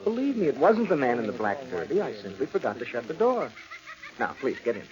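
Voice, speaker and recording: An actor playing an earnest husband, soothing but impatient, in a vintage radio suspense drama. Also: male voice, mid-range voice, huge pitch swings, a little fast. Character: reassuring, exasperated husband, a lung specialist. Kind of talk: 1940s radio suspense acting. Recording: dull old radio recording.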